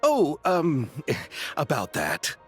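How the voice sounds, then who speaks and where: A man voicing a romantic, excitable film director in a video game, speaking in a florid, earnest, theatrical manner. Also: fairly high pitch, very slow, soft background music, full character voice. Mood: sheepish.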